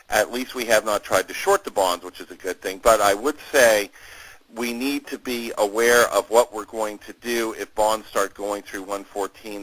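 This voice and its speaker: A middle-aged man with a fairly low voice speaks as a market analyst hosting a call. His commentary is informal and measured, with careful hedging. The sound is close and dry, with little room around it. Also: a bit bright, no room sound, low-quality recording.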